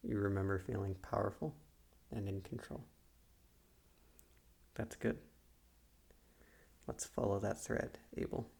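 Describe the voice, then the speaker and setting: A man playing a calm, probing psychiatrist in a soft-spoken ASMR roleplay, with a slow, measured, quietly condescending manner and a soft, gravelly voice. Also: fairly low pitch, full character voice. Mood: quietly intrigued. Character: calm, probing male psychiatrist leading a hypnotic guided visualization.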